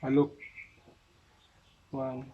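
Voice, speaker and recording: A man's voice: mid-range voice, completely flat delivery, drawn-out words, narrow, tinny audio, background noise. A man with a Filipino accent casually talking through an unboxing in short, unscripted fragments. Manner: unscripted, talks in short fragments while handling items. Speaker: product unboxer.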